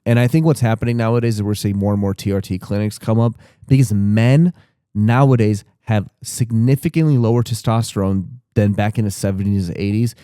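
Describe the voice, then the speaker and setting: Low-voiced man in his thirties, candid and rambling. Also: fairly even intonation, brisk, dark tone, clear, resonant tone, no room sound, studio quality. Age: 30s. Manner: candid and rambling, with frequent restarts and casual swearing. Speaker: podcast co-host. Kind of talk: casual podcast chat.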